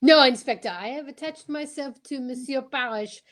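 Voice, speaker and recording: A woman voicing a shrewd male detective in an amateur radio drama, formal and halting. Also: sits high, fairly bright tone. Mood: measured. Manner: formal, deliberate, halting, peppered with French phrases.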